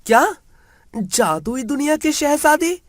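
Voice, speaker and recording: Man as a poor village lad, high raised voice, wide-eyed, grateful, exclaiming, cartoon storybook dialogue. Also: takes it slowly, no room sound, studio quality, full character voice. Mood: amazed. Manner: excitable, amazed, exclaiming. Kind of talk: Hindi animated moral-story dialogue.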